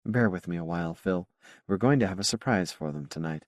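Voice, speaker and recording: A man voicing a determined, self-assured woman scientist in an audiobook, explaining things in a measured, patient way. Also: low-pitched, no echo, clean studio sound, natural voice. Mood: softened, confiding.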